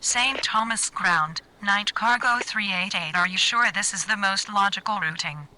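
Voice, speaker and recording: A businesslike female cargo pilot voiced by a woman in a flight game, with a very bright, forceful voice. She gives clipped, formal radio readbacks at a middle pitch. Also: normal speed, a little hiss or hum, poor audio. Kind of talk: scripted radio phraseology.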